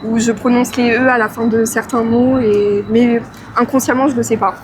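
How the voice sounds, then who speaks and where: A woman's voice: fairly high pitch, little pitch movement, normal speed, noisy background. A woman passer-by giving casual, slightly hesitant answers in a street interview.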